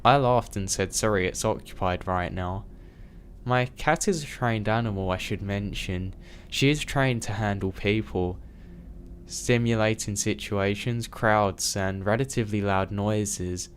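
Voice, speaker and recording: A man reading true scary stories aloud in a steady, even first-person narration. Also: on the low side, a little slow, a little hiss or hum. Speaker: storyteller.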